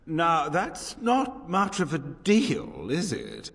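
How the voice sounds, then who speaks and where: An actor playing a slippery slave-trading mage in a video game, with a smooth, mannered way of bargaining. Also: male voice, a little high, huge pitch swings, extremely slow, a bit bright, heavily acted. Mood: smug, bargaining. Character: slippery male slave-trading mage, smooth dealmaker turned pleading coward. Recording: long hall reverb, music behind.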